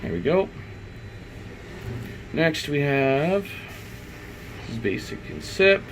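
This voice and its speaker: A man's voice: fairly high pitch, big pitch swings, slow, fairly bright tone. Man talking through steps, faint background hum. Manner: informal, thinking aloud. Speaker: IT installer giving a software tutorial. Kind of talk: unscripted step-by-step screen walkthrough.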